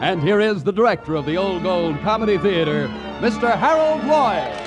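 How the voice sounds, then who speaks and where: A man's voice: high-pitched, animated, normal speed. An American man announcing a scripted radio show in grand, showy 1940s style. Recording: vintage radio broadcast. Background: music.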